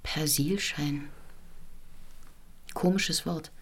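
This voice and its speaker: An actress playing a polite, curious chambermaid in a radio play, with a naive, deferential, questioning manner. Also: female voice, sits low, very slow, slightly rough, exaggerated voice. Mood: naively curious. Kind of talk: naturalistic radio play dialogue.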